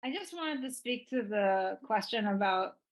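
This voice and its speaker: A woman on a group video call calmly explaining her reasoning on a question. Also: medium pitch, normal speed. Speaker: participant on a training call.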